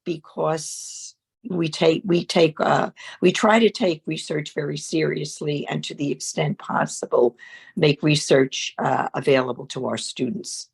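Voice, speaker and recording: A woman faculty member presenting at an online information session over a video call, speaking in a halting way with frequent filler sounds and restarts. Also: sits low, steady tone, gravelly, breathy edge.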